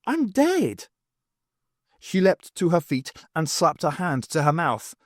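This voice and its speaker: A man reading scripted story narration as an audiobook narrator, with expressive, dramatic phrasing. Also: on the high side, normal speed, dry recording, clean studio sound.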